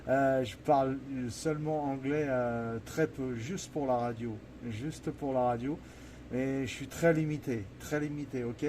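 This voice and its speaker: A man in a casual amateur radio contact, halting and repeating himself as he searches for words. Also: mid-range voice, fairly even intonation, dark tone, a little hiss or hum. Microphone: stage vocal microphone at radio station.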